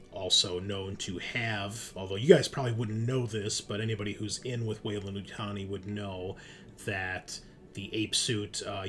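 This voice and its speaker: A man's voice: low-pitched, gravelly, bright, forceful tone. A man narrating a tabletop roleplaying game as game master, explaining things conversationally and addressing his players directly.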